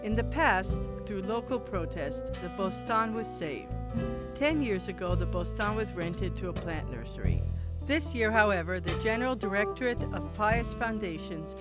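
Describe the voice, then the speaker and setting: A woman's voice: medium pitch, lively intonation, normal speed. A woman reading scripted documentary narration as the narrator. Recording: prominent music under the voice. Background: plucked guitar music.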